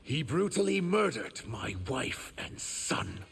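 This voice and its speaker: An actor playing an earnest, dutiful shapeshifting reptilian emissary who carries grief, in video game dialogue with noisy sound. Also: male voice, on the high side, sing-song, slow pace, vocal fry, heavily acted. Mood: grieving, solemn.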